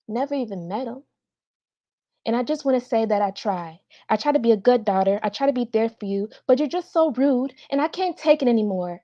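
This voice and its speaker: A resentful, wounded daughter acted by a woman pleads with her mother in a dramatic monologue. The voice is soft-toned and mellow, with accusation under the pleading, heard through a slightly dull, lo-fi connection. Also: a little high, natural voice. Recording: slightly dull, lo-fi call audio.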